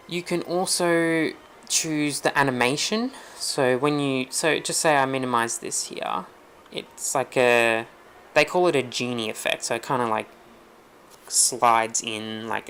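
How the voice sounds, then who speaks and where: Man, casual tech tutorial host, gravelly fry, easygoing and loose with plenty of ums. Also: mid-range voice, a little hiss or hum. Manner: relaxed, rambling, many ums. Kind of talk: casual screen-recorded walkthrough.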